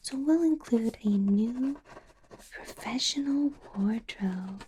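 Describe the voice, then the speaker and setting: A woman voicing a doting, possessive vampire boss in an ASMR roleplay, in a soft breathy whisper with a coaxing, controlling sweetness. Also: on the high side, slow pace, slight background noise, rough recording. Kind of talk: ASMR roleplay, close whispered personal attention.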